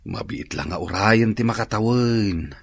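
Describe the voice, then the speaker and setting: A man's voice: fairly low pitch, a little slow, gravelly, slightly rough, full character voice. An actor playing a hopeful, hard-working middle-aged father in a radio drama. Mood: hopeful, weary.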